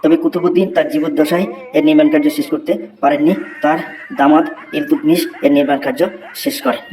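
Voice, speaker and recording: A man's voice: fairly high pitch, fairly even intonation, a little mellow, natural voice. A man voicing a schoolboy who recites a memorized essay in a cartoon. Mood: recitation. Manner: fast rote recitation.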